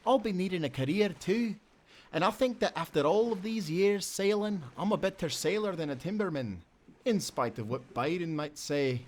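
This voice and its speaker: An actor playing a loyal, good-natured sailor in a nautical audio adventure, speaking earnestly with friendly seafaring phrasing. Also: male voice, on the high side, slight background noise, close to an everyday voice. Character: young-hearted, loyal, good-natured sailor and former timberman. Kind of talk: cinematic nautical audio roleplay acting.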